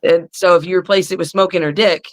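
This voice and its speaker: A middle-aged woman with a raspy voice, a wrestler guest chatting bluntly and crudely on a video call from her living room. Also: lowish voice, steady tone, fast. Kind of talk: casual podcast chat.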